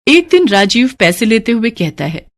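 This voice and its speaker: A woman's voice: fairly high pitch, big pitch swings, normal speed, no echo. A woman narrating a scripted moral bedtime story as a storyteller.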